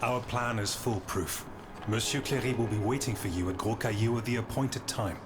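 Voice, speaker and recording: An actor playing a secretive gentleman conspirator in a video game, formal, assured and urgent. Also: fairly low pitch, moderate pace, lightly acted. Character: brisk, secretive gentleman conspirator planning an escape. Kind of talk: dramatic video game cutscene acting. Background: music.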